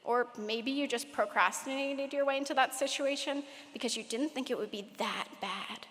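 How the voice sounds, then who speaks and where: A teenage girl giving a prepared valedictorian speech at a graduation, measured and lightly humorous. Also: high-pitched, a bit bright. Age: late teens. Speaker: valedictorian speaker. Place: large hall at a graduation ceremony. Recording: echoey hall with long reverb.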